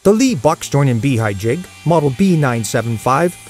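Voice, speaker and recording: A man's voice: medium pitch, sing-song, normal speed, darkish tone. A man reading a polished promotional voice-over for a woodworking jig. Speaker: commercial announcer. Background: faint music.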